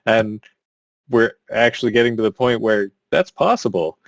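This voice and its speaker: A man's voice: on the low side, moderate pace, vocal fry, no echo. A man co-hosting a podcast in casual chat, rambling and leaning on "like". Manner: rambling, heavy use of "like". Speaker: podcast co-host.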